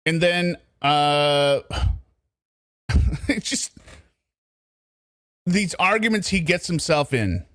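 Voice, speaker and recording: A man's voice: a little high, expressive, drawn-out words, pauses often, a bit bright, dry recording, clean studio sound. A man hosting true crime commentary, sarcastic and talking back at the recording he plays. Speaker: commentary host.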